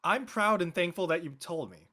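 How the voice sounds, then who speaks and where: A man playing an excitable, loyal high school senior jock, speaking in slangy exclamations in an audio play. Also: normal speed, heavily acted. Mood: elated, amazed. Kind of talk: naturalistic dialogue in a live-streamed audio play.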